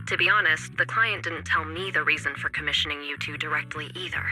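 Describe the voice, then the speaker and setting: A woman voicing a sly, composed operative in an anime game, teasingly polite with a coy, measured delivery. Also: low-pitched, pushed and bright. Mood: serious, measured. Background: faint game music.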